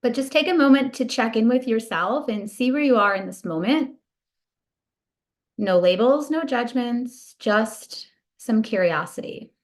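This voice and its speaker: A woman leading a guided body scan meditation in a soothing, gentle, unhurried manner. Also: fairly high pitch, lively intonation.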